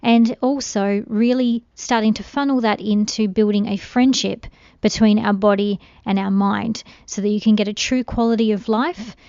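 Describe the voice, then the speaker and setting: Female meditation guide, gentle and soothing, with noticeable vocal fry, recorded very dry. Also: medium pitch, no room sound, dull audio. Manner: gentle, soothing, unhurried instruction.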